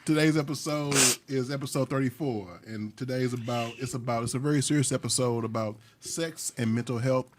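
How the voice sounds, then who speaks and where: A man's voice: medium pitch, brisk, hardly any pauses, noisy background. Joking, stumbling male podcast host. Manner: joking, repeats and restarts phrases. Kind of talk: casual podcast chat.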